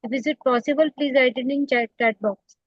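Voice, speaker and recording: High-voiced woman moderating, dull lo-fi sound. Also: steady tone, brisk, dry recording. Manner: formal, polite. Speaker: session moderator. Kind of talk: formal online session announcements. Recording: dull, lo-fi online call audio.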